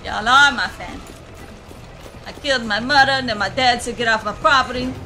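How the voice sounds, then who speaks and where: Comedy dub: whiny young woman survivor, played by a woman, bright forceful tone, music behind. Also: a little high, a little fast. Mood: indignant, pleading. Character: needy, pushy young woman survivor seeking family. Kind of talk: improvised comedy game dub.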